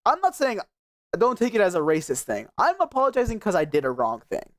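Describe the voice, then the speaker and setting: A man's voice: high-pitched, huge pitch swings, brisk, fairly bright tone. A young man streaming, chatting casually and rambling with many restarts. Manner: rambling, restarts a lot, laughs often. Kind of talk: casual chat.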